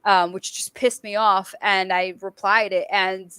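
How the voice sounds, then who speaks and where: A woman from Massachusetts whose first language is Portuguese, speaking with a Boston accent. She tells stories in a lively, casual way with swearing and laughter. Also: mid-range voice, a little slow, clean tone, no room sound. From Massachusetts and the Azores. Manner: animated storytelling, frequent laughter and swearing. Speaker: guest, military veteran. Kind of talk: casual chat.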